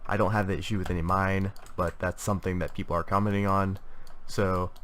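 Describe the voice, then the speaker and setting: A young man with a cold talks through his complaints about gaming gear, his voice stuffy and sitting a little low. He rambles casually, full of fillers and false starts. Keyboard typing is heard behind him. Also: flat delivery, a little fast, a bit bright, a little hiss or hum. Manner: rambling, many fillers and restarts. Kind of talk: casual product review talk.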